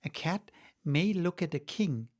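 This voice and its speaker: A man's voice: on the high side, expressive, unhurried. A man voicing an earnest, polite young girl. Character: curious, polite young girl in a fantasy storybook.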